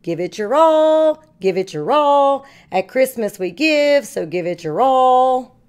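A woman's voice: sits high, animated, slow pace, darkish tone. A woman reading a storybook as a small, upbeat male cat hero, exclaiming and chanting cheerfully. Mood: cheerful, determined.

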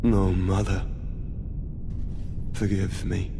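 A grim archaic warlord. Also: male voice, flat delivery, vocal fry, noisy background, full character voice. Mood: cold disdain. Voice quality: deep, archaic formal speech. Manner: slow, solemn and menacing. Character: grim imperious male warlord of flame. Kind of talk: video game cutscene acting. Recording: game cutscene with loud orchestral music.

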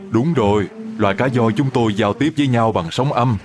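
A man's voice: medium pitch, a little fast, a little mellow, close to an everyday voice. A man voicing a polite, grateful flying whale in a children's cartoon, explaining things courteously, with music behind. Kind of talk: animated children's cartoon acting.

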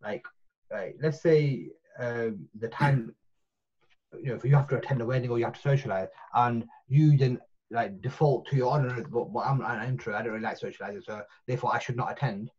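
A man's voice: medium pitch, fairly quick, darkish tone, husky. A man, chatting as a podcast guest over a video call, circling back with many restarts and fillers. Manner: rambling, full of restarts and fillers. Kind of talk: casual podcast chat. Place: small room at home. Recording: muffled video call audio.

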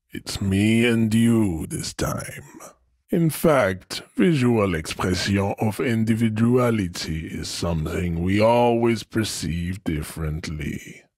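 A man's voice: fairly low pitch, breathy edge, no room sound, clean studio sound, full character voice. An actor playing a pompous, imposing male ideologue in a video game, proud and disdainful, declaiming with grand emphasis. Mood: disdainful, stern. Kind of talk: theatrical video game character acting.

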